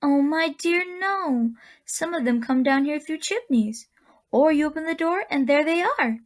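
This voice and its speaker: Actress doing sweet genteel old auntie from a magic land, high voice, radio play, gentle but firm. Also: female voice, expressive, a little slow. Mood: warm, busy. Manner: gentle, genteel, grandmotherly endearments. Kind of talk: radio drama acting.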